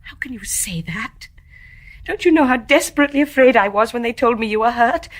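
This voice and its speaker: An actress playing a young, guilt-ridden English wife in a radio drama, genteel and restrained in Received Pronunciation, tender and gently teasing but emotionally charged. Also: female voice, fairly high pitch, expressive, a little fast, close to an everyday voice. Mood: distressed pleading. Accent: British Received Pronunciation.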